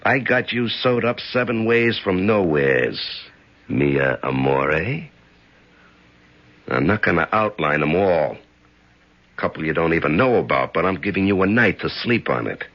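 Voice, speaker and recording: Ruthless smooth-talking mobster for radio drama: low, swinging delivery, slangy menace. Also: male voice, lively intonation, a little slow, exaggerated voice. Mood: menacing. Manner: slangy, taunting, peppered with Italian endearments. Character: ruthless exiled American gangster, smooth, menacing, sneering. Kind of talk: 1970s radio drama acting. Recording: dull 1970s radio recording.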